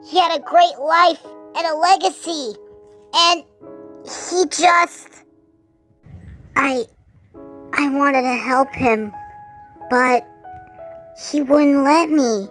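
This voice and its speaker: A woman playing a kindly, caring steam engine in a children's story, speaking earnestly and haltingly with emotion. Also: sits high. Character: kindly female tank-engine character, grieving, earnest and caring. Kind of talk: children's story audio drama. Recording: music behind the voice.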